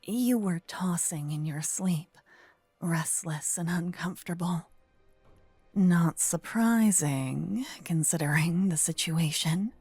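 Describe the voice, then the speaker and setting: A woman playing a gentle but possessive vampire kidnapper in an ASMR roleplay, speaking softly and intimately with frequent whispering, close to a binaural mic. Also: lowish voice, no echo, soft background music, exaggerated voice. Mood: soothing, knowing. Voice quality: soft, breathy, often whispering. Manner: slow, intimate, earnest, dramatic pauses. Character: gentle possessive female vampire kidnapper, self-proclaimed protector. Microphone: close binaural microphone, ear to ear.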